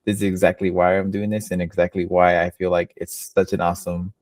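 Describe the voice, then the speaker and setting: A man hosting a casual podcast, his voice low and sitting close and dry on the mic. He is relaxed and filler-heavy, and he likes to joke around. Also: brisk, no echo. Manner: laid-back, filler-heavy, jokey. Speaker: podcast host. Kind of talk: casual interview chat.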